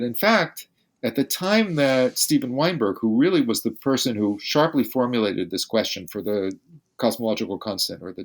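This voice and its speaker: Older man, halting and restarting. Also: medium pitch. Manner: halting, many restarts and repeated words. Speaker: physicist guest. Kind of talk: unscripted podcast interview.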